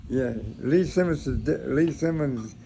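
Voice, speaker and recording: Older male, gravelly, plain-spoken storyteller, informal, noisy recording. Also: fairly high pitch, a little slow, mellow. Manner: folksy, plain-spoken, rambling. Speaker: grandfather recounting his military past. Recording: noisy room, clear background noise.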